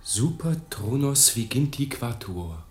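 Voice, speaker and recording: An actor playing a tense young novice monk in a radio play, speaking in a hushed, halting way. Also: male voice, mid-range voice, very animated, soft background music. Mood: hushed, searching.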